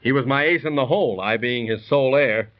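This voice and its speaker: A man's voice: mid-range voice, a little fast, close to an everyday voice. An actor playing a breezy, wisecracking man in a 1930s radio drama, on a dull, noisy old recording. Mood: light, confiding. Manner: jaunty, wisecracking. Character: breezy, joking, sceptical man telling his tale.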